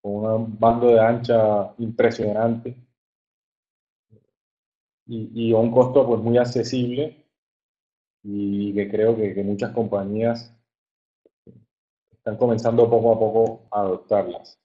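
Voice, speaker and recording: A man in his thirties with a Colombian coastal accent giving an informal online presentation, haltingly, repeating words as he searches for phrasing. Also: fairly low pitch, little pitch movement. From Caribbean coast of Colombia. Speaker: webinar presenter, software engineer. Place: online webinar. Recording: muffled narrow-band online call audio.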